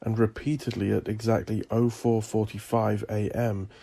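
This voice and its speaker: Low, mellow, gravelly man narrating horror. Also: flat delivery, moderate pace. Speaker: audiobook narrator. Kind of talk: scripted dark fantasy horror narration.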